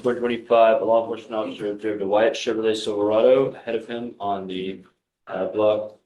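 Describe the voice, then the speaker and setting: An echoey courtroom recording of an American male prosecutor. His voice is low and very flat as he reads formally from a report, procedural and unvarying. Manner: monotone, procedural.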